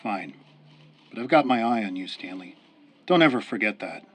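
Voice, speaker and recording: An actor in a radio drama playing a hostile, suspicious, hot-tempered police officer. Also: male voice, fairly low pitch, animated, moderate pace, a bit bright. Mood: grudging, threatening.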